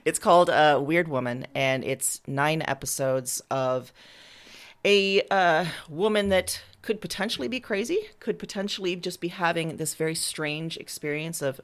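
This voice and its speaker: A woman giving casual, candid interview answers as a writer, talking informally. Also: sits low. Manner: candid, informal, occasional swearing. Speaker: interviewed writer and audio drama creator.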